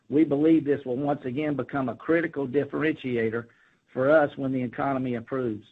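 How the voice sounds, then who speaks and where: A man, a company executive, reading a scripted earnings call presentation in a formal, businesslike way. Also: mid-range voice. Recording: narrow, tinny phone line.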